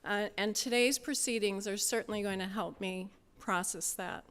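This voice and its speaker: A woman giving a prepared introduction of a speaker at a conference, in a measured, formal way. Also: mid-range voice, a bit bright, clear, resonant tone. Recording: reverberant hall sound.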